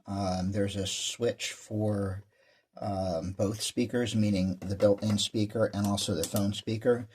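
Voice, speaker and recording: A man's voice: lowish voice, level tone, takes it slowly, darkish tone, slightly rough. A man giving a casual, plain-spoken walkthrough as a hobbyist presenter, explaining things as he goes.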